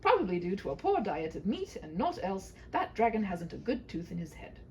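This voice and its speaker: A woman's voice: mid-range voice, very animated, fairly quick, slight background noise, lightly acted. Woman putting on a gallant, earnest storybook knight. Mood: wry. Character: gallant, earnest, very fine male knight, reasonable. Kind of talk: humorous fairy-tale read-aloud.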